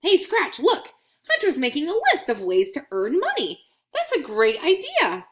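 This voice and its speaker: A woman voicing an excitable, curious, chatty little coin from a children's storybook, with bubbly exclamations. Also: high-pitched, normal speed, pitched above the performer's own voice, full character voice. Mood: excited.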